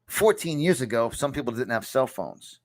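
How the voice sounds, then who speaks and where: A punchy motivational coach in his fifties, talking in a New York accent in a middle-pitched voice. He hammers his points home, repeating lines for emphasis. The sound is from a small home studio. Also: animated, normal speed. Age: 50s. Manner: emphatic, repeats phrases for effect. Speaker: success coach. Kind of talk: motivational lesson to camera.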